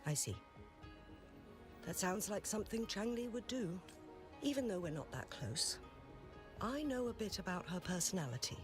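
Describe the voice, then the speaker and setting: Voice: medium pitch, big pitch swings, normal speed, pauses often, over music, close to an everyday voice. An actor voicing a polite, formal town official in a game, thanking and advising a visitor. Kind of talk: game voice acting.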